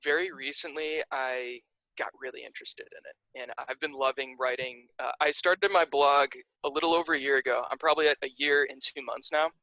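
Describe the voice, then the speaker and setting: A man's voice: mid-range voice, a little fast, no room sound, poor audio. A young man from the Chicago area with a Chicago accent, a podcast guest chatting loosely with lots of fillers and restarts. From Chicago area, USA. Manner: relaxed, rambling, many fillers and restarts. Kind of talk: casual interview chat.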